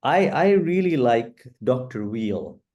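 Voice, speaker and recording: A middle-aged man from the UK, answering interview questions in a measured, earnest way with frequent fillers. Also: medium pitch, big pitch swings, a little mellow, highs cut off. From UK, born in East Africa. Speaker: guest, coach.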